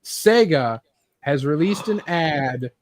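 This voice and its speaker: A man's voice: a little high, expressive, slow. A man hosting a casual chat about gaming news, talking informally. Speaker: host.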